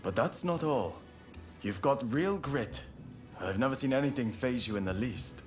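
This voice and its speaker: An actor playing a fiery, proud and idealistic young samurai in a video game, with earnest, formal phrasing. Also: male voice, mid-range voice, animated, moderate pace, soft background music, natural voice. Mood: reflective, warm. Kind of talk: video game cutscene acting.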